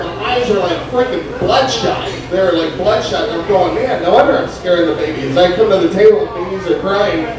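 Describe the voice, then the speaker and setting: A young man doing stand-up comedy in a restaurant, rambling and halting with many fillers, and acting out a stoned, forgetful waiter. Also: sits high. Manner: acts out a stoned, scatterbrained waiter. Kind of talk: open-mic stand-up comedy. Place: Mexican restaurant with a live crowd. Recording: very echoey room, distant mic, crowd noise.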